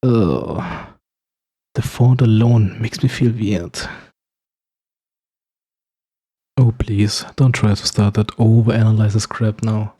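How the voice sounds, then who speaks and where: A man's voice: lowish voice, steady tone, unhurried, dark tone, slightly rough. A man playing a teasing, snarky supervillain with firm standards in an ASMR roleplay, speaking straight to the listener with sarcastic, playful banter. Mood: grumpy, defensive.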